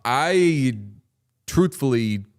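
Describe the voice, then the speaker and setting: A man's voice: medium pitch, animated, extremely slow, clear, resonant tone. A man in his late thirties, co-hosting a casual sports watchalong, telling rambling stories with a dry, wry humor and some swearing. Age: 30s. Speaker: podcast co-host.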